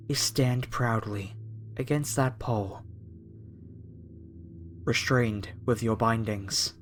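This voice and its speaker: A man performs a fanatical cultist captor in a horror audio roleplay. His mid-pitched voice moves very deliberately and slowly, with reverent, preacherly menace and long pauses. Faint music plays underneath. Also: lightly acted. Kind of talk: horror ASMR roleplay monologue.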